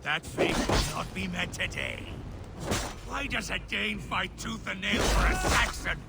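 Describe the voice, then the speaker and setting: An actor playing a savage, arrogant Viking warlord in a video game, gravelly, taunting and contemptuous, with loud music over the voice. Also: male voice, high-pitched, a little slow, heavily acted. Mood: bitter contempt. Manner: taunting, insulting. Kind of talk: dramatic video game acting.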